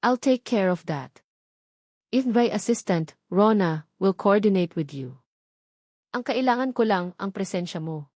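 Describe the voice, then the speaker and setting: A woman's voice: mid-range voice, animated, measured pace, darkish tone, no room sound, studio quality. A woman voicing a commanding rich male boss who speaks curtly and firmly. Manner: curt, firm, mixes English in.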